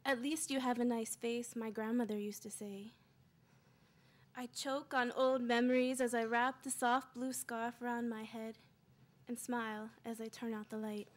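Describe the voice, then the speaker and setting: A woman reading her spoken-word poetry live, in measured short phrases with dramatic pauses. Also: fairly high pitch, flat delivery. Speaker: poet reading own work.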